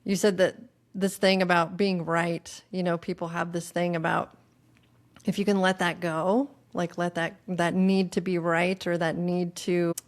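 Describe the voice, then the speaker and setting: A woman's voice: fairly low pitch, flat delivery, measured pace. A woman hosting a podcast interview, reflective and searching for words as she asks questions. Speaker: podcast host. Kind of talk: conversational interview.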